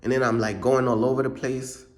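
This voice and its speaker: A man's voice: medium pitch, level tone, fairly quick. Slangy young man from Uganda. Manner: slangy, repetitive, peppers speech with bro. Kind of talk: casual vlog monologue.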